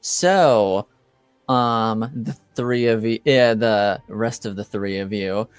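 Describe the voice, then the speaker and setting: A man's voice: fairly low pitch, slow, clean tone, no room sound. A man working as game master, narrating and moderating a tabletop roleplaying game.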